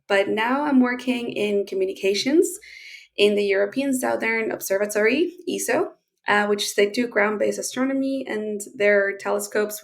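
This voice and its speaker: A woman speaking English, her voice carrying a gravelly edge of vocal fry. She explains her work as a podcast guest in a friendly manner and often pauses with small fillers. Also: medium pitch. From Chile. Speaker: guest, space lawyer. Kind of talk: conversational podcast interview.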